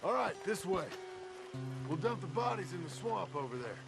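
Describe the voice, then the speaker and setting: An actor playing a gruff, practical outlaw comrade, curt and matter-of-fact in a western game. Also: male voice, high-pitched, big pitch swings, moderate pace, music in the background, exaggerated voice. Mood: businesslike. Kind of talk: game acting.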